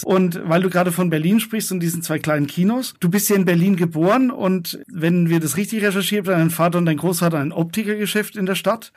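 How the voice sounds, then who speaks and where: A man co-hosting a podcast, introducing a guest and asking questions in an upbeat way. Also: sits high. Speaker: podcast co-host. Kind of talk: casual podcast talk, interviewing.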